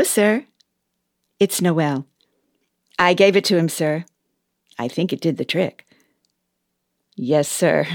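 A woman playing a patient, low-voiced elf boss. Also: dry recording, clean studio sound. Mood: dutiful, pleased. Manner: patient, measured, gently wry. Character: patient, caring female elf personnel boss, a busy mother. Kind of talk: audio play acting.

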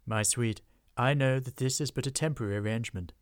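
A man voicing a pompous, well-spoken gentleman troll with a grand, theatrical manner. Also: medium pitch, fast, clean tone, no room sound, clean studio sound, lightly acted. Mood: grandly reassuring.

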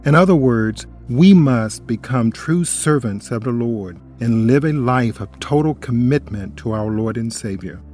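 A man's voice: mid-range voice, lively intonation, measured pace, hardly any pauses, a little mellow, soft background music. A man co-hosting a scripted radio Bible study, reading scripture in a solemn, exhorting tone. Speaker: co-host and Bible study presenter.

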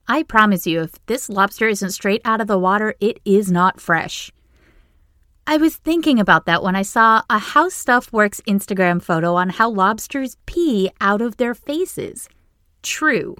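A woman with a Maine accent hosts her podcast, reading a script with a playful, joking lilt. Her voice is mid-pitched and recorded close and dry, with no room echo. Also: lively intonation, unhurried, pushed and bright. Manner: playful, jokey asides. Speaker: podcast host. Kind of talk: scripted comic narration.

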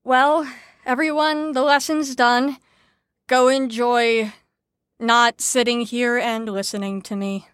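An actress playing a deliberate woman in a psychology class in an audio drama. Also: a little high, steady tone, fairly bright tone, clear, resonant tone, no echo, clean studio sound. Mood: dismissive.

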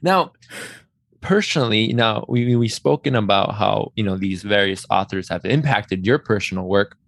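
A man's voice: on the low side, expressive, moderate pace, gravelly, fairly bright tone, no echo, clean studio sound. A man hosting a casual interview, reacting with effusive enthusiasm. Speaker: podcast host.

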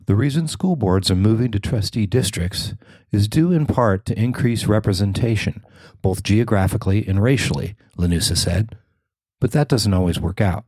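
Low-voiced man reading local news. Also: animated, normal speed, darkish tone, breathy edge, no echo. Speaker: podcast host and reporter. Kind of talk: scripted news narration.

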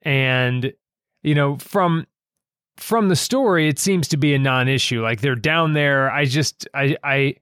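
Male podcast host and writer, unhurried and thoughtful, dry close sound, halting reflective delivery. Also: medium pitch, a bit bright, clean tone, no echo. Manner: thoughtful, halting, pausing mid-sentence. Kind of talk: reflective conversation about a story.

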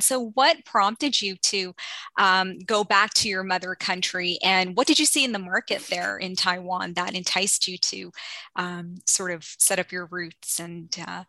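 Curious, bright-voiced woman co-host. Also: medium pitch, no echo. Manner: curious, engaged. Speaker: podcast co-host. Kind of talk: asking interview questions.

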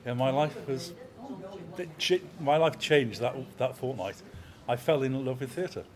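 A man in his sixties, an opera company manager, talking in a measured, anecdotal way in an interview. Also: mid-range voice, animated. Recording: crowd chatter in background.

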